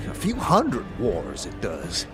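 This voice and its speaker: A man voicing a coughing, short-winded local who tells folksy, halting tales in a fantasy audio game. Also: on the low side, wildly swooping pitch, heavily acted. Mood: weary, musing. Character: wheezy, rambling male local with a bad cough. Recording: music under the voice.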